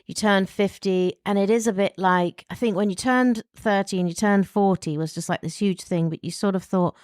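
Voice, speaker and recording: A woman's voice: medium pitch, fairly even intonation, moderate pace, a little mellow, clear, resonant tone, no echo, studio quality. A woman in her fifties, co-hosting a podcast and reflecting aloud in a rambling, chatty way. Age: 50s. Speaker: podcast co-host. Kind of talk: casual chat.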